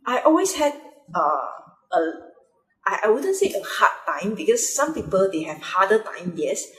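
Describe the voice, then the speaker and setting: A woman's voice: mid-range voice, big pitch swings, normal speed, fairly bright tone. Hesitant Malaysian woman, echoey room. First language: Mandarin. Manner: hesitant, many fillers and restarts. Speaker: vlogger. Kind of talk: personal vlog.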